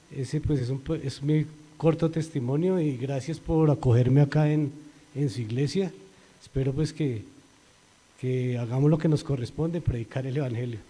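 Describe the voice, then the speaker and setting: Colombian man, dark voice, reverberant hall. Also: on the high side. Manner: earnest, rises and grows louder when quoting scripture. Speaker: church member giving testimony. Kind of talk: spoken religious testimony.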